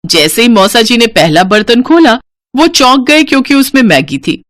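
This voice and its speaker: Animated woman storyteller, very lively pitch. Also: moderate pace, no room sound, clean studio sound. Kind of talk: animated scripted story narration.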